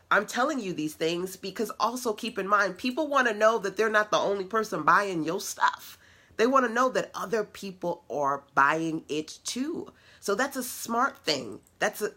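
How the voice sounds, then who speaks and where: A middle-aged woman with a deep voice, hosting a casual online web class and encouraging her audience in a conversational, playful way. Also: sharp tone. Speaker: web class host and business coach.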